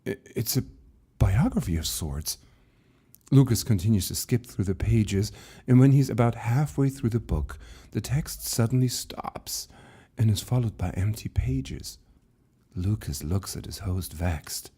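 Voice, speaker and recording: A man reading his own short story as a measured, deliberate, suspenseful dramatic narration. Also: lowish voice, warm tone, slightly rough.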